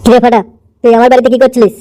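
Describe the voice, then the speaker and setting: A woman's voice: fairly high pitch, little pitch movement, rapid-fire, music in the background, lightly acted. A woman voicing a stern, suspicious father in a cartoon comedy, speaking in a scolding, nagging manner. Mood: suspicious, annoyed.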